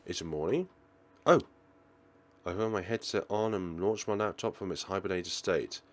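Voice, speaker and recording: A man with a fairly low voice narrates a visual novel for a let's play. His pitch moves around a lot as he reads dramatically, now and then tripping on a phrase and going over it again. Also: expressive, moderate pace. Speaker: let's player reading a visual novel aloud. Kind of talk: game commentary with dramatic read-aloud narration.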